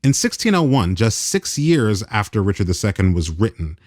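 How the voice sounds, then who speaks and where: A man's voice: lowish voice, sing-song, moderate pace, darkish tone, no echo, clean studio sound. An American man hosting a radio program, moving between scripted narration and interview questions. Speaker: radio host.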